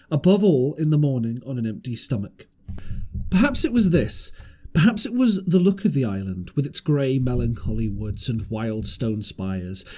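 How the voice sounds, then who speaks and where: A man narrates an adventure story as an amateur audiobook reader. His medium-pitched voice rises and falls widely across each sentence. He now and then trips over a word in the scripted prose. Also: warm tone, husky.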